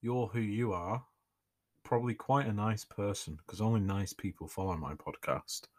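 A man hosting his own podcast, reading his writing aloud in a relaxed way with dry, self-mocking humour. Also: fairly low pitch, normal speed. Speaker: podcast host and author.

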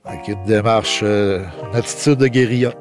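A man's voice: fairly low pitch, steady tone, normal speed, music in the background. A man with a Quebec French accent narrating casually and personally, in a colloquial, hesitant way. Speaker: visual artist introducing his guest.

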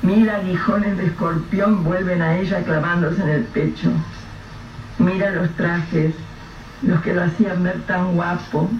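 Echoey, measured radio-drama narrator, male. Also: high voice, fairly even intonation. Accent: Rioplatense Spanish. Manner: measured, vivid storytelling. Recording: echoey, distant, dull, faint hiss.

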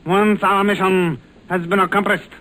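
High-pitched menacing saboteur. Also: male voice, flat delivery, moderate pace, sharp tone. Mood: gloating. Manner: stiff, formal, over-precise diction. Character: menacing Japanese saboteur commander, male, coldly polite and gloating. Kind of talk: 1940s radio serial acting. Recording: noisy old radio drama recording.

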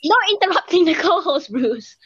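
A bubbly woman hosts a casual podcast chat in a high voice. The sound is dry and slightly dull, like a lo-fi mic. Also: animated, normal speed, fairly bright tone, no room sound. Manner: bubbly, laughs often. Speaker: podcast host.

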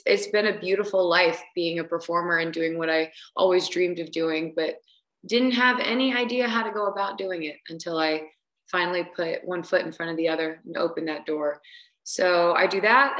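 A woman's voice: fairly low pitch, steady tone, moderate pace, fairly bright tone, reverberant, rough recording. A woman singer guesting on a podcast, talking casually with hesitant restarts and fillers. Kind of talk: casual interview chat.

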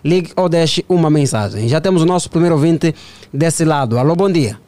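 A man's voice: fairly high pitch, lively intonation, fairly quick, mellow, no room sound. A man with an Angolan accent hosting a radio phone-in from a studio in a conversational style. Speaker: radio host.

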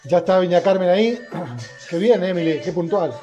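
Male host for informal online interview, mellow and fairly high-voiced, slightly muffled call sound, light music. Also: animated, normal speed. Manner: informal, colloquial. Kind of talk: casual live chat.

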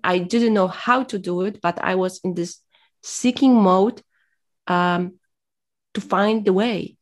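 A woman whose first language is Polish, speaking as an interview guest in a reflective, motivational way, hesitant and full of fillers as she searches for words. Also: on the low side.

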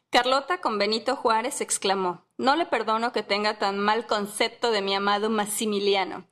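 Chatty woman reading quotes. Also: medium pitch. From Mexico. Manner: lively, laughs often, reads quotes aloud. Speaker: podcast co-host. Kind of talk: casual book chat.

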